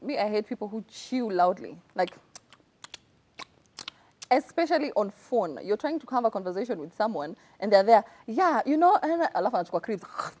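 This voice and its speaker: A woman co-hosting a casual chat, relaxed and a little coy, mostly answering in short sentences. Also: medium pitch, moderate pace. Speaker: co-host.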